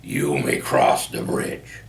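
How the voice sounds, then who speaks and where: Gravelly, bellowing, horrible storybook troll. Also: male voice, very deep voice, fairly even intonation, roomy sound, a little hiss or hum, voice pushed down, heavily acted. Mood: grudging. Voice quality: gravelly, growling. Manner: slow, bellowing, menacing. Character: horrible ugly smelly male troll, bullying and greedy. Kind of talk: storybook character voice.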